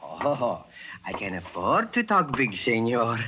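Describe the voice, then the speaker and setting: Oily villain, swooping voice. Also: male voice, medium pitch, huge pitch swings, takes it slowly, slight background noise. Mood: smug, taunting. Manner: oily, formally polite, stilted phrasing. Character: little foreign smuggler villain, oily, wheedling, excitable. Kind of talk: 1940s radio thriller acting. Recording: muffled old-time radio recording.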